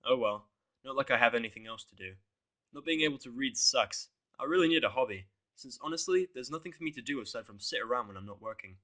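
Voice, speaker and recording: A man's voice: medium pitch, expressive, fast talker, pushed and bright. Sardonic man narrating a story. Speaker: fan fiction narrator. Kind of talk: scripted first-person story narration.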